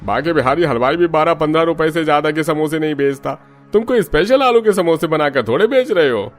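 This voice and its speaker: Man acting cartoon street customer, highish male voice, animated intonation, colloquial exclamations, music underneath. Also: a little fast, close to an everyday voice. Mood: indignant. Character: haggling, complaining male street-food customer who warms up to good food. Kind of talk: Hindi moral-story cartoon dub. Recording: music behind the voice.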